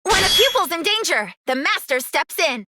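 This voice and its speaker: A woman's voice: extremely high pitch, sing-song, moderate pace, background noise. A woman playing a breezy, carefree young martial arts master in an anime-style game, teasing and exclamatory. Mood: bold. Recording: loud music behind.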